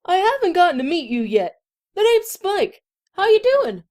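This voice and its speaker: A woman's voice: very high voice, very animated, moderate pace, darkish tone. A woman voicing a friendly, eager young boy dragon with a casual, earnest manner in an audio drama. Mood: cheerful. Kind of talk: scripted audio drama character acting.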